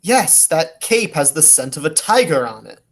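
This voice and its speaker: Actor as a serious, deliberate detective man. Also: on the high side, very animated. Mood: urgent. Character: serious, deductive male police detective. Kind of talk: 1940s radio play acting.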